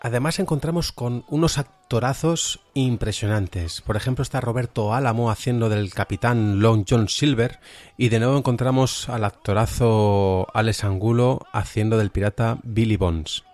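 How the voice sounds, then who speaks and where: A man's voice: mid-range voice, moderate pace, a little mellow. A man with an accent from Spain, presenting an informal podcast review in a chatty, hesitant, self-deprecating way. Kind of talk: informal review of audio dramas.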